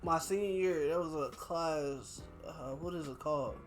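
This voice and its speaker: A young man chatting casually as a podcast host, telling anecdotes in a slangy, animated way. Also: a little high, moderate pace, soft background music. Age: young adult. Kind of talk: casual chat, storytelling.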